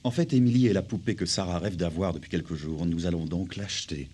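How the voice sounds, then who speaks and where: An actor playing a courteous, doting middle-aged gentleman father in a French cartoon dub, speaking politely and formally. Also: sits low, fast, husky. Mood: kindly, measured.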